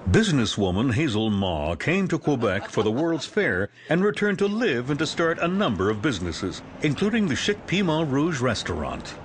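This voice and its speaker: A man's voice: mid-range voice, big pitch swings, normal speed, hardly any pauses, a little hiss or hum. A man reading polished, upbeat promotional narration. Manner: polished, upbeat announcer delivery. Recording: voice-over over music.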